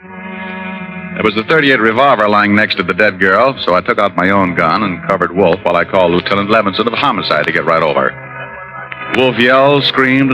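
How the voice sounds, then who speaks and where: Actor as a low-voiced wisecracking American private eye. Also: male voice, lightly acted, poor audio. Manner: wry, glib, quick with quips. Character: wisecracking, flirtatious male private detective. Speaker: detective and narrator. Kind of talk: 1940s-50s radio noir acting. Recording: old radio broadcast with music.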